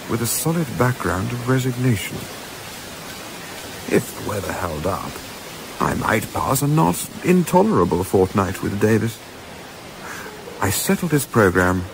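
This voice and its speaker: An actor playing a fastidious, weary gentleman in a radio play, with a measured, formal, literary way of speaking. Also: on the low side. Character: fastidious, weary English gentleman narrator, reluctant holidaymaker. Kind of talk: radio play narration and dialogue. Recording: clearly noisy, ambient sound behind.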